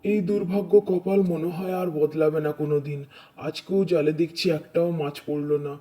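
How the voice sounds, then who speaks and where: Man playing a mellow, sorrowful poor fisherman. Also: a little high, steady tone, brisk, lightly acted. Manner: plaintive, lamenting. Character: poor village fisherman father, sorrowful and kind-hearted. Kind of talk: cartoon dubbing. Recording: music under the voice.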